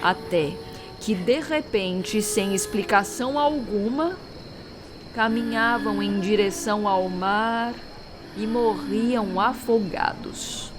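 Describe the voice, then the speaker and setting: A woman playing a very old street woman who tells eerie sea legends in a measured, ominous, dramatic audio-drama style. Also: mid-range voice, over music, lightly acted. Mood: ominous, mysterious.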